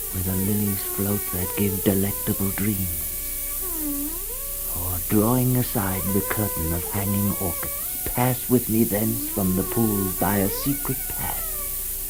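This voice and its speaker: A man's voice: on the low side, rough recording. A man playing a lovelorn king who declaims his dream in a grandiose, yearning 1960s radio drama monologue. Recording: 1960s radio production with music behind.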